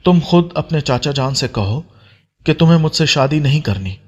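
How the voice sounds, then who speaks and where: A man's voice: a little high, lively intonation, normal speed, a little mellow, husky, highs cut off. A man voicing an angry, threatening young man in a romance audio story, with a commanding manner. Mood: menacing.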